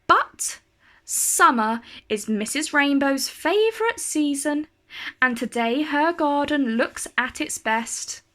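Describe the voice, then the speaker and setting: A woman reads a storybook aloud for children. Her voice is fairly high and bright, with animated intonation and careful, expressive phrasing that suits young listeners. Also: slow. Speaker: storyteller reading a children's picture book.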